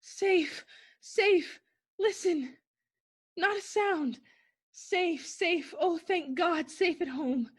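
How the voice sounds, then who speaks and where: A woman's voice: extremely high pitch, extremely slow, full character voice. A woman playing a terrified young woman fleeing home alone at night, talking to herself in breathless, repeated bursts in the style of a 1940s radio play. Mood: shaky relief. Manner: breathless, frantic self-talk in short repeated bursts.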